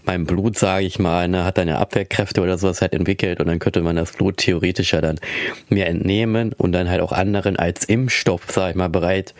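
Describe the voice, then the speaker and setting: A hoarse man co-hosting a podcast in casual chat, clearing his throat and using many fillers. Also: sits low, fairly even intonation, brisk, no room sound, clean studio sound. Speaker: podcast co-host.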